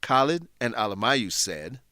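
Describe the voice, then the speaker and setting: A man presents a scripted English lesson in a deliberate, instructive manner. His mid-pitched voice moves up and down in a lively way, with a very dry sound. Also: dry recording. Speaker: lesson narrator, English teacher. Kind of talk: scripted educational narration.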